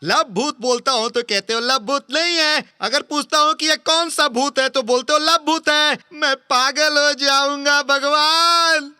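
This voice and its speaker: A man voicing a flustered, high-voiced cartoon guy. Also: lively intonation, moderate pace, no echo, clean studio sound, heavily acted. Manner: loud, wailing outbursts. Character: excitable confused young man, easily flustered.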